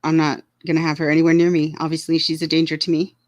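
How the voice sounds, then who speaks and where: A Southern woman co-hosts a casual chat, speaking candidly and laughing often. Her voice sits on the low side, and the recording is dry and close. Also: completely flat delivery, quick pace, a little mellow, no room sound. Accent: Southern American. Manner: candid, laughs often, talks straight to commenters. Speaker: co-host of a chat stream. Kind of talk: casual chat reading viewer comments.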